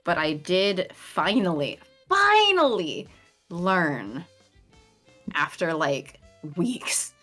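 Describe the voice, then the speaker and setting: A young woman talks casually on a stream, her voice bouncing melodically all over the place. She sits on the lower side of a woman's pitch. Faint music plays underneath. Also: big pitch swings, drawn-out words, fairly bright tone. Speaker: streamer co-host. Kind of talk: casual chat on a game stream.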